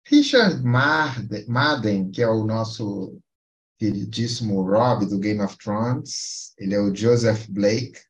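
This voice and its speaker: Slow, unhurried man running a quiz. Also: lowish voice, lively intonation. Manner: playful, teasing, reads film credits haltingly. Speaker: quiz host on a film podcast. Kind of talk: casual podcast chat.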